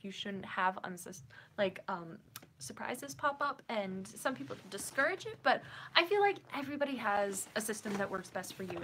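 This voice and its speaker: A woman's voice: mid-range voice, slight background noise. Giggly young woman w/ a minnesotan accent, rambly chatty livestream host, lots of ums. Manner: bubbly and giggly, rambling with fillers. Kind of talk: casual chat reading viewer comments.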